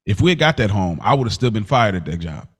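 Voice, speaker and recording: A man's voice: fairly low pitch, big pitch swings, quick pace, husky. A man co-hosting a podcast, talking casually and reflectively in an informal, rambling, self-correcting way. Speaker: podcast co-host. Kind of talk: casual chat, personal reflection.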